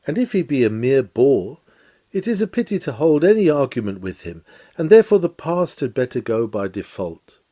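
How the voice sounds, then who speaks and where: A man reading a lecture aloud as scripted narration, in a measured, emphatic, oratorical manner. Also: mid-range voice, sing-song, dark tone. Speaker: reader of a historic sermon lecture.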